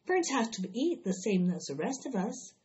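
An eager, imaginative little farm girl, voiced by a woman reading a storybook. She talks earnestly, high and lively, insisting on every tale. The recording sounds dull and lo-fi. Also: normal speed, a little hiss or hum. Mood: insistent.

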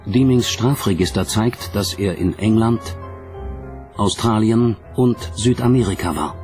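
A man reading scripted documentary narration in a measured, dramatic manner. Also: lowish voice, darkish tone. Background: music.

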